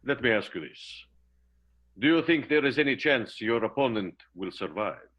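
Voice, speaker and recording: An actor playing an earnest, portentous interviewer in an improvised comic radio play. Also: male voice, mid-range voice, expressive, moderate pace, fairly bright tone, highs cut off. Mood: dramatic, probing. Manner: theatrical, probing, portentous.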